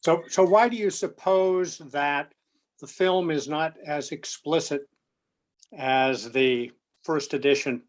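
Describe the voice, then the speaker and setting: A man presents a prepared talk in a conversational register. His voice is a little higher than most men's. He phrases things in a measured, deliberate way, as a lecturer would.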